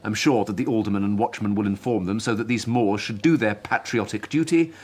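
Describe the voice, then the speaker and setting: Husky, low-voiced haughty Elizabethan lord played by a man. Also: close to an everyday voice. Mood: stern, guarded. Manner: measured, formal, imperious. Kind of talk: period radio drama acting. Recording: studio radio drama.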